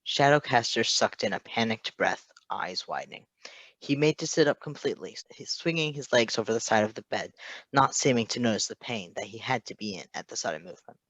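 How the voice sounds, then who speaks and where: A man reading a story aloud in an expressive scripted narration style. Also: on the low side, brisk, no room sound, low-quality recording. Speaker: podfic reader.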